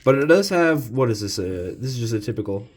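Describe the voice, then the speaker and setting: A man's voice: mid-range voice, sing-song, fairly quick. A young adult man in a casual chat, reacting in a laid-back, playful way. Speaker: co-host reacting to old gadgets.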